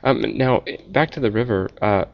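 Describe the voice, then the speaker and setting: A man's voice: medium pitch, normal speed, lo-fi sound. A man interviewing a guest, asking curious short questions and reacting with interest. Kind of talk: casual interview.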